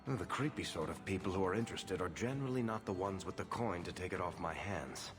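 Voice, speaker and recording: A man's voice: sits low, fast, big-room sound, music in the background, close to an everyday voice. A man playing a roguish, easygoing middle-aged dwarf in a fantasy video game, with a wry, casual delivery. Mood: wry, uneasy.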